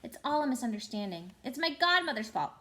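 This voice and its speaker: Woman voicing a high, wailing, cowardly old gentleman. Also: big pitch swings, fast talker. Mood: wailing self-pity. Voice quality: trembling, wailing voice. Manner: melodramatic, pleading.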